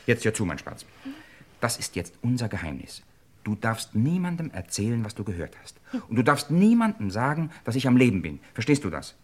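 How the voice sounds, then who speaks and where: A man's voice: medium pitch, huge pitch swings, fairly quick, a little mellow. An actor playing a cool, defiant man in a German crime radio play, dryly ironic and unflustered. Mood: determined, hushed. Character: cool defiant man posing as his dead double.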